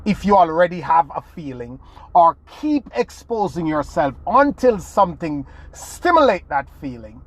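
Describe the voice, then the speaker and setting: A man's voice: fairly high pitch, big pitch swings, a little slow, low-quality recording. A man from Jamaica, giving an earnest motivational talk as a podcast host, restarting phrases and leaning hard on key words. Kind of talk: motivational monologue.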